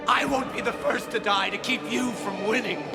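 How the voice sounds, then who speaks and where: An actor playing a defiant, anguished young hero in a radio drama, impassioned and pleading. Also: male voice, extremely high pitch, moderate pace. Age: young adult. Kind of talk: 1980s radio drama acting. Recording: very loud music and effects behind. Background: very loud orchestral music.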